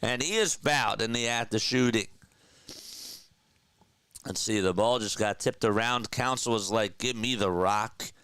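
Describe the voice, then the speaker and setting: Guy with a stuffy nose doing live hoops play-by-play, smooth clear voice, super dry sound. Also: fairly low pitch, a little slow, pauses often, dry recording. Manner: energetic, chatty, talks to viewers between plays. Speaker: play-by-play caller. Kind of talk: live basketball play-by-play commentary.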